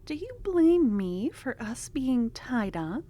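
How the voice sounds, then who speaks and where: A woman voicing an obsessive, possessive young cultist in an ASMR fantasy roleplay, speaking in a soft, breathy half-whisper that coaxes and soothes. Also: high voice, big pitch swings, takes it slowly, slight background noise, heavily acted. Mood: soothing, possessive.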